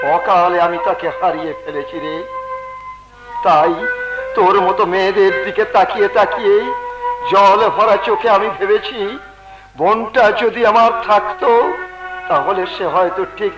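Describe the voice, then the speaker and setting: High, declamatory heroic rickshaw puller. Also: male voice, steady tone, unhurried, long pauses, highs cut off. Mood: tender, tearful. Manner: declamatory, emotional. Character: righteous, protective rickshaw puller, brave and sentimental. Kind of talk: Bengali jatra folk-theatre acting. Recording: echoey stage recording with music.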